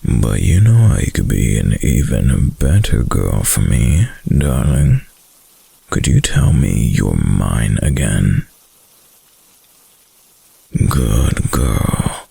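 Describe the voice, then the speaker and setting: An extremely deep, breathy wolf-boy boyfriend, acted by a man for an ASMR roleplay, full of heavy gravel. He murmurs slowly and intimately, doting and possessive, with a dominant streak. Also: sing-song, mellow, heavily acted. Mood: possessive cooing.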